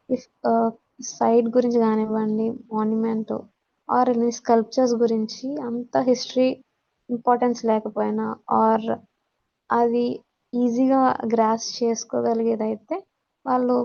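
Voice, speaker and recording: High, even-toned Telugu woman teaching. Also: vocal fry. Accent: Telugu Indian. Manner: switches between Telugu and English terms. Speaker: teacher giving a communication skills lesson. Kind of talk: explanatory lecture, reading out points then explaining. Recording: dull lo-fi audio.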